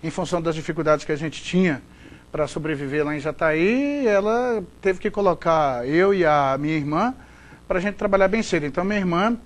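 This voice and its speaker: High-voiced chatty Goiás man. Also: a little fast. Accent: Goiás Brazilian Portuguese. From Goiás, Brazil. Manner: chatty, rambling, frequent fillers and restarts. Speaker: sports broadcaster, interview guest. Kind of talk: conversational interview answers.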